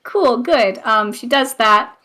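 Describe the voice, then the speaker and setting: A woman player in a tabletop game, describing her character's actions in a halting way. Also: fairly high pitch, expressive, sharp tone.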